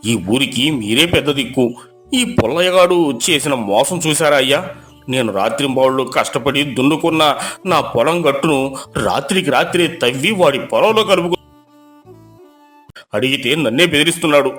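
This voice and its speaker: A man voicing an indignant farmer who complains loudly and insistently. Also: medium pitch, normal speed, soft background music, close to an everyday voice. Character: angry farmer accusing neighbour, loud and indignant.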